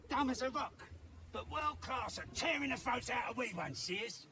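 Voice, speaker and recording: An actor voicing a crude, mocking goblin raider in a video game, with a snarling voice and coarse slang. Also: male voice, very high-pitched, expressive, moderate pace, noisy background. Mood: gloating, goading. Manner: coarse rustic slang with inverted sentences. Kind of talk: video game character acting.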